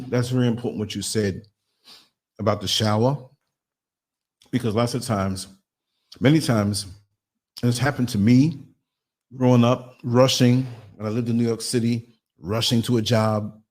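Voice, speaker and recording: A man hosting a live online show, talking in a relaxed, chatty way. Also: medium pitch, measured pace, long pauses. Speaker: podcast host. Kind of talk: casual live-stream talk.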